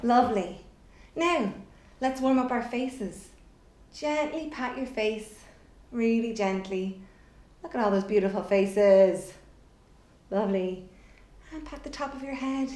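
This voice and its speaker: High-voiced woman presenter, echoey room. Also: slow, pauses often, darkish tone. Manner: encouraging, simple guiding instructions. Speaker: theatre workshop presenter. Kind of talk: children's instructional video, explaining and leading warm-up exercises. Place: indoors in a bare room at home.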